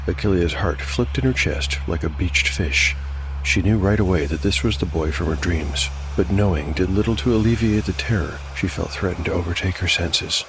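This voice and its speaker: A man giving measured, dramatic, literary narration of a scripted dark fantasy tale. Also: sits low, noisy background. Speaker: narrator and host. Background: music.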